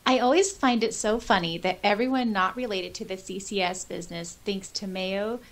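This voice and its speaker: A woman co-hosting a podcast in casual chat, reading out comments and reacting in an animated, giggly way with hesitant asides. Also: medium pitch, normal speed. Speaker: podcast co-host and audiobook reader.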